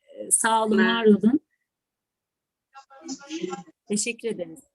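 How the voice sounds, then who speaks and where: A woman's voice: a little high, fairly even intonation, unhurried, no echo, poor audio. A woman hosting an online event, speaking in a polite, formal, thankful manner. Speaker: host and teacher. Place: online video call.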